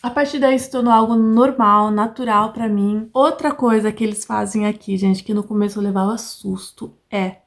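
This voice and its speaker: A woman's voice: mid-range voice, steady tone, normal speed, few pauses. A middle-aged woman from Brazil with a Brazilian Portuguese accent, talking casually to her viewers in a vlog and laughing often. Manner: chatty, laughs often, keeps addressing viewers. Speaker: vlogger. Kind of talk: casual chat to camera.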